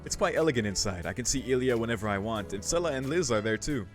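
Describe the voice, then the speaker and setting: A man's voice: medium pitch, lively intonation, fast talker. A man hosting a game playthrough, talking in a slangy, jokey way and reading the game's text aloud. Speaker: gaming video host. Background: game music.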